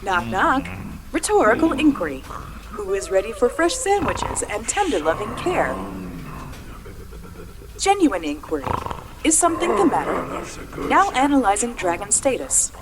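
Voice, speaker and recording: A doting female robot golem from a fantasy audio drama. She is sweetly polite and mechanical in phrasing, with lively swooping intonation, over clear ambient noise. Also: fairly high pitch, measured pace, pauses often. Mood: cheery. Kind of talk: audio drama character acting.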